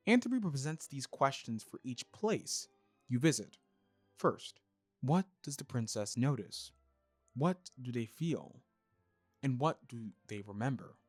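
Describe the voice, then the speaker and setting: A man hosting and narrating a scripted review, speaking in an emphatic, expressive way. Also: mid-range voice, no echo. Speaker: reviewer and host.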